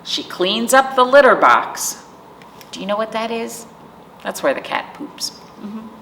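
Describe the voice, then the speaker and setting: Animated woman reading to children, roomy sound. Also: on the high side, a little slow. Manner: simple explanations and questions addressed to children. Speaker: library storytime host reading picture books. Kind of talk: animated read-aloud for young children. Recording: roomy, distant mic, faint noise.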